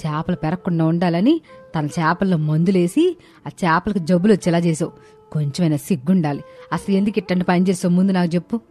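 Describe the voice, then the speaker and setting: A woman playing low-voiced, earnest Indian family woman. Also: fast, warm tone, close to an everyday voice. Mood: scolding. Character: earnest young Indian daughter-in-law and her scolding household women. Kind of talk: dramatized family moral story dialogue. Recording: music behind the voice.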